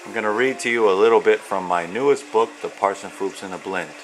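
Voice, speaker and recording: A man, an author, presenting and reading a children's storybook in deliberate, measured phrases. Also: on the low side, background noise. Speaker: author and illustrator reading own children's book. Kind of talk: read-aloud storytelling of rhyming children's book.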